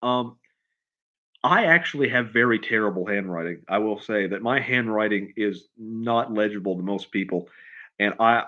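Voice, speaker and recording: Low-voiced man, halting livestream chat. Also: dull audio. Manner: halting, repeats and restarts phrases often, rambling. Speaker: writer hosting a livestream Q&A. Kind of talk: casual unscripted chat, answering viewer questions.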